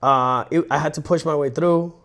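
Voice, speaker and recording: A man in his thirties from Colombia, telling stories in a rambling, animated way on a casual podcast. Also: fairly high pitch, moderate pace. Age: 30s. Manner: rambling, animated storyteller with restarts. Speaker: podcast co-host. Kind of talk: casual chat.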